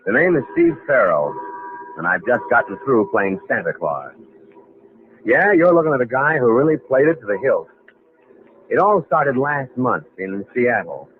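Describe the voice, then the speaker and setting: An actor with an American accent playing a wry, slangy young drifter in a vintage radio drama, both narrating and speaking in scenes. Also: male voice, medium pitch, sing-song, moderate pace, natural voice. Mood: wry, confiding. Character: streetwise, down-on-his-luck young drifter telling his story. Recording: tinny, noisy old radio broadcast recording.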